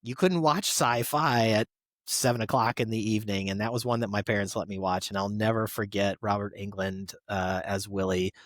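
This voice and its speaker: A man's voice: lowish voice, fairly even intonation, normal speed, no echo. Man chatting casually, very dry sound. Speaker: podcast panelist. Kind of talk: casual chat, reminiscing.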